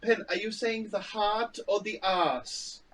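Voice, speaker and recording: A timid, eager camp helper voiced by a man speaks slowly, stumbling over his words. His voice is high and sounds far from the mic, with a faint background hiss. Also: a bit bright. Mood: nervous. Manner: hesitant, fumbling, full of ums. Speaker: actor in a telepresence improv rehearsal.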